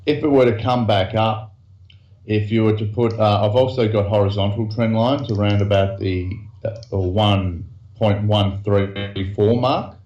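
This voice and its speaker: A man as a guest in a casual trading chat, explaining slowly and hesitantly with many restarts. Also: lowish voice, fairly even intonation, darkish tone. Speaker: guest trader. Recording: echoey, dull lo-fi sound.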